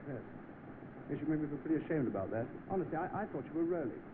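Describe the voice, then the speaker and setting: A man's voice: a little high, expressive, very fast, natural voice. A man with a British accent playing a blustering, kindly, well-off man-about-town in an old film. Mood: hearty, awkward. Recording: tinny noisy old film soundtrack.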